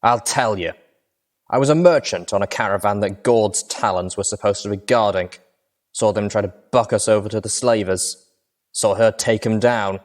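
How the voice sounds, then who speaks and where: A man's voice: fairly low pitch, moderate pace, close to an everyday voice. A man voicing a menacing male pony guard, a former merchant, who growls his warnings. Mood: threatening.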